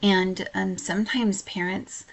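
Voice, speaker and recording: An American woman giving an informal lecture as a college instructor, speaking earnestly in a halting way with frequent pauses. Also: medium pitch, steady tone, creaky, low-quality recording.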